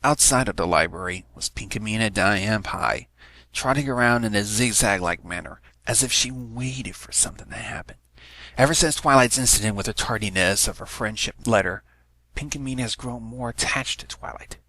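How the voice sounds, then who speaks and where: Low, crackly male story narrator. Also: normal speed, pushed and bright, no room sound. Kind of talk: scripted narration of fan fiction.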